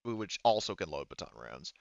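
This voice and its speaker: A man's voice: low voice, sing-song, normal speed, creaky, darkish tone, no echo, dull audio. A man casually running a tabletop game as game master, explaining rules in a hedging, explanatory way.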